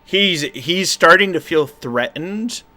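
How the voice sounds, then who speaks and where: A man's voice: a little high, slow, a crowd in the background. A man running a tabletop role-playing game as game master, playful and laughing often. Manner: animated, laughs often, playful voices for figures.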